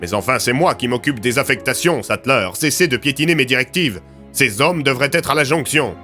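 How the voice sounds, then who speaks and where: A man's voice: mid-range voice, expressive, fairly quick, pushed and bright, faint music behind, pitched above the performer's own voice. A man voicing a defensive, officious agency commander in an audio story. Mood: irritated. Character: defensive male agency commander, bristling at rivals.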